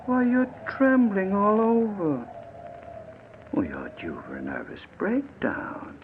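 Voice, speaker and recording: A man's voice: high-pitched, wildly swooping pitch, takes it slowly, exaggerated voice. An actor playing a sadistic, mockingly polite murderer in an old-time radio thriller, toying with his victim in silky mock concern. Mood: taunting sympathy. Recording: muffled, noisy vintage radio recording.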